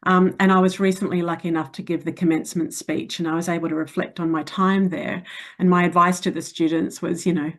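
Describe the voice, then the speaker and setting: A middle-aged woman from New Zealand answering interview questions in a frank, reflective way with many hesitations. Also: lowish voice. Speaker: interview guest.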